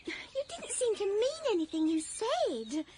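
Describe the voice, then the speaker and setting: A woman's voice: very high-pitched, sing-song, unhurried, darkish tone, exaggerated voice. An actress playing an eager, sentimental young working-class woman in a radio drama, with an English accent and an earnest, plain-spoken manner. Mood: excited, tender.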